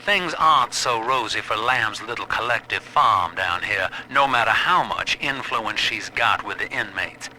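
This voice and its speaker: A man's voice: on the low side, animated, unhurried, hardly any pauses, pushed and bright, a little hiss or hum, heavily acted, rough recording. A man playing a cold, watchful prison official in a video game audio log. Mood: calculating.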